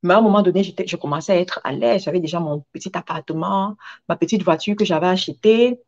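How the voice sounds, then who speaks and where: Woman, motivational speaker, mid-pitched voice, emphatic delivery, repeated phrases, slightly dull recording. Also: darkish tone. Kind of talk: motivational talk with personal anecdotes.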